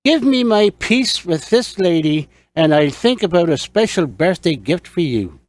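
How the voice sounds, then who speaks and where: A man voicing a shrewd, lusty young Irish pub owner in an audiobook, sly and coaxing in a measured way. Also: high voice, expressive, no echo, pitched above the performer's own voice. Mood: coaxing, bargaining. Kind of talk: audiobook character dialogue.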